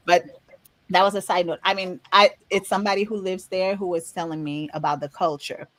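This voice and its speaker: A woman's voice: fairly low pitch, a little fast, no echo. Frank Haitian woman telling stories, dry sound. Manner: frank, animated storytelling with frequent laughs. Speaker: podcast co-host. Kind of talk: candid conversational podcast chat.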